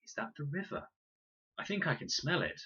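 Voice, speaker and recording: A man plays an earnest, well-meaning boy in a storybook. The voice is friendly and lifted in pitch, with a husky edge and a slightly dull recording. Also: sing-song, moderate pace, bright, forceful tone, heavily acted. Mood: excited.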